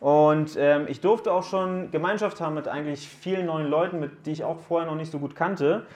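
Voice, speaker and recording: A man's voice: a little high, a little fast, a little mellow. A man in his thirties gives a casual talk to young people at a camp, joking and asking the audience questions. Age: 30s. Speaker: youth speaker at a camp. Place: room with a live audience.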